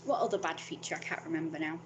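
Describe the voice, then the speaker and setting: A woman's voice: low-pitched, very fast, a little hiss or hum. A young woman, talking in a frank, rambling vlog monologue and swearing freely.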